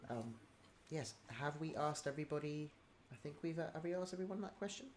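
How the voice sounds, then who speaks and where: A man's voice: on the high side, lively intonation, a little fast, noisy background. A man hosting a casual livestream Q&A with the cast, talking in a hesitant way with lots of ums and restarts, heaping praise on the cast. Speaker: livestream host running a cast Q&A.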